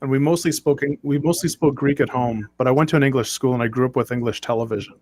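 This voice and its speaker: A man's voice: mid-range voice, fairly even intonation, quick pace, a little mellow, dry recording. A man from Canada, a guest on a livestream, explaining things in a plain, casual way. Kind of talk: casual chat.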